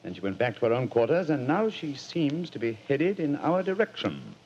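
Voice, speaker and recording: Actor as theatrical Sherlock Holmes, sweeping delivery. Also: male voice, mid-range voice, big pitch swings, moderate pace, a little mellow, a little hiss or hum, lightly acted. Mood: curious. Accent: British. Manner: crisp, declamatory, self-assured. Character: Sherlock Holmes, commanding, incisive, theatrical English gentleman detective. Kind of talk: 1940s radio drama acting. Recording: muffled vintage radio recording.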